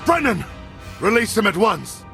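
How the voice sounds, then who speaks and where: An actor playing a menacing, grandiose zealot crime boss in a video game, taunting in formal language. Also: male voice, high voice, big pitch swings, unhurried, gravelly, bright, forceful tone, faint music behind, exaggerated voice. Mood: angry. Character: menacing, theatrical male cult crime boss and zealot father. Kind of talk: dramatic video game acting.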